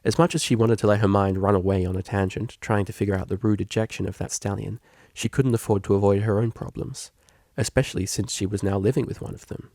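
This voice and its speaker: A man reading scripted fiction aloud as a narrator, with a creaky voice. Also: lowish voice, fairly even intonation, quick pace, a little mellow, dry recording, studio quality. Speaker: audiobook reader.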